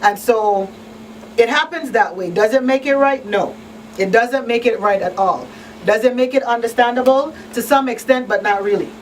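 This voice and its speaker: Woman talk show host, high-ish voice, emphatic, conversational, repeats for emphasis, slightly off-mic. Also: moderate pace. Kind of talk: radio talk show discussion. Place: radio studio. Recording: noisy radio room, slightly distant mic.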